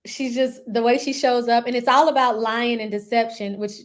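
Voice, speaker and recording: A woman co-hosting a casual podcast chat, agreeable and quick to laugh. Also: on the high side, fairly even intonation. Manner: warm, agreeable, laughs easily. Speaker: podcast co-host.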